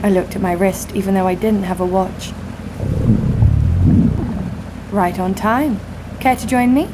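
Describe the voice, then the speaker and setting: A woman's voice: mid-range voice, normal speed, heavy background noise, lightly acted. A woman playing a wry, adventurous young ship's guard who narrates her own story with a sardonic touch in a scripted audio drama. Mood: playful, sardonic.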